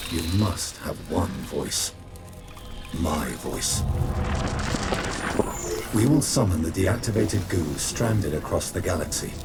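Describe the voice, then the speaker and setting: Very deep, slow, commanding machine leader. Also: male voice, exaggerated voice. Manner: grave, deliberate, imperious. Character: commanding, resolute machine leader rallying a swarm. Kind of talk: dramatic scripted video game cutscene acting.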